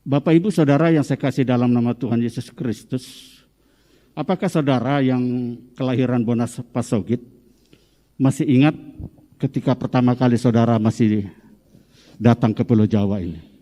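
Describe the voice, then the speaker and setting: A Medan-accented man in his fifties preaches to his congregation, slow and deliberate, then swelling loud and high at the key lines. His tone is soft and mellow. The church hall gives his voice a long, lingering reverb. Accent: Medan Indonesian. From Medan, North Sumatra, Indonesia. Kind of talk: sermon to a congregation.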